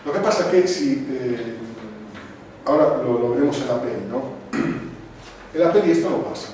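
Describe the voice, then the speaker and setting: A man from Sardinia, presenting a film to a cinema club audience, thoughtful and full of pauses and restarts. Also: medium pitch, expressive, a little mellow. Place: cinema club screening room. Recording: echoey room, distant mic, noisy.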